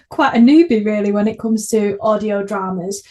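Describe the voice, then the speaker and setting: A woman's voice: medium pitch, normal speed, warm tone. A woman hosting a casual podcast interview, speaking in an informal, enthusiastic way. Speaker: podcast host.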